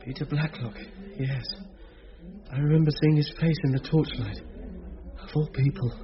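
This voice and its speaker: A man playing a puzzled convalescent in a radio play. His voice is fairly high, subdued and questioning, on a slightly dull recording. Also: fairly even intonation, normal speed, lightly acted. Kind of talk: radio drama acting.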